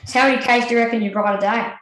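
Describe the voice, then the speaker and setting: A woman's voice: a little high, fairly even intonation, racing. A woman asking interview questions briefly, with short encouraging backchannels. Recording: roomy echo.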